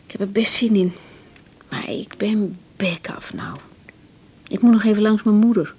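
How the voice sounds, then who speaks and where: An actress playing a caring, practical young female doctor in a radio drama, asking and explaining in a brisk, direct way. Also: mid-range voice, steady tone, warm tone, slightly rough, dull audio, lightly acted. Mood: tired, affectionate. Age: young adult.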